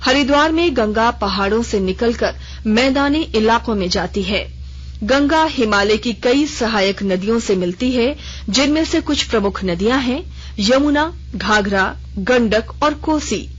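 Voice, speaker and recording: A woman newsreader delivers a formal regional bulletin at a measured, even pace. Her voice sits fairly high, and the sound is dull and lo-fi like an old radio broadcast. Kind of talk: formal news bulletin reading.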